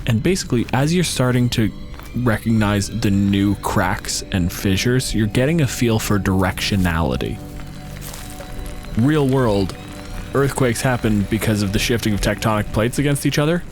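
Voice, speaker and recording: A man's voice: fairly low pitch, animated, moderate pace, faint music behind. A man narrating a tabletop roleplaying game as game master, in a casual, conversational way with offhand swearing.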